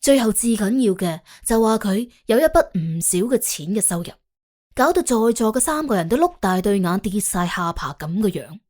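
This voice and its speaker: A woman narrating an audiobook in colloquial Cantonese, telling the story in an expressive, wry way. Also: medium pitch, normal speed. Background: faint background music.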